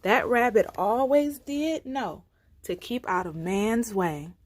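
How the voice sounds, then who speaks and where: A woman reading folktales aloud for children as a storyteller, with deliberate, theatrical phrasing. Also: fairly high pitch, slight background noise. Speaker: storytime reader.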